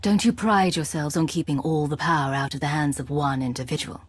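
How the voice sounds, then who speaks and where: A calm, husky covert agent. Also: female voice, low voice, a little fast, no echo. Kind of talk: video game cutscene acting.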